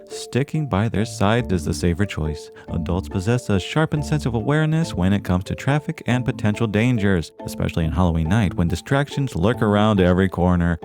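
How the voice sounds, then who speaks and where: An actor playing a chipper small-town podcast host, with a theatrical, flowery, folksy delivery in a scripted radio style. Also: male voice, lowish voice.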